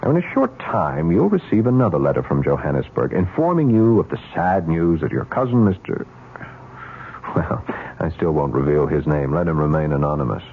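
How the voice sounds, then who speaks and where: An actor playing a smooth, secretive, persuasive schemer with a suave, formal manner in a radio drama. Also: male voice, rumbling low, sing-song, normal speed, heavily acted. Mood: coaxing. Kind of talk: 1970s radio drama acting. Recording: tinny, noisy old radio recording.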